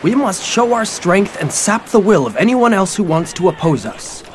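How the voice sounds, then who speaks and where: An actor playing a brash, cheerful warlord rallying troops in an English game dub, folksy and theatrical. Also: male voice, sits high, big pitch swings, normal speed. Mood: rousing. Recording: music behind the voice.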